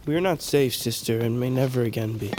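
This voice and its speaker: An actor playing a wary, sharp-tongued young blind brother who speaks cryptically in a fantasy audio drama. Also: male voice, mid-range voice, brisk, noisy background, natural voice. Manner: cryptic, archaic phrasing.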